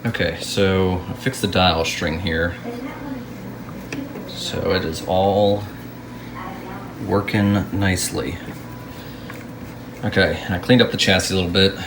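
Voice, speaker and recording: A man narrating a casual hands-on restoration video as a hobbyist, musing aloud to himself in an unhurried way. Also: sits low, flat delivery, background noise.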